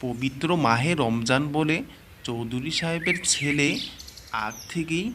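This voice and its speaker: A man's voice: medium pitch, unhurried, background noise. A man reading scripted narration for a cartoon family story. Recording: music and birdsong behind the voice.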